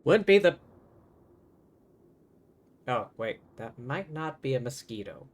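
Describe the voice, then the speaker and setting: A man's voice: medium pitch, big pitch swings, takes it slowly. A man livestreaming with casual game reaction commentary, full of offhand, muttered asides.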